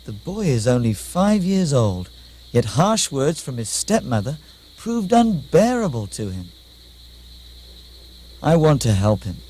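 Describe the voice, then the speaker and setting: A man's voice: mid-range voice, darkish tone, husky, full character voice. A man playing a kindly, pious wandering sage in a puppet show, with a theatrical delivery.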